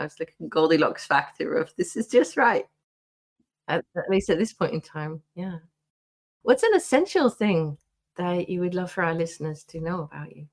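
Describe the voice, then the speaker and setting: A woman co-hosting a podcast who speaks hesitantly and often repeats words as she forms her questions. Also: on the low side. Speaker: podcast co-host. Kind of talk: interview conversation.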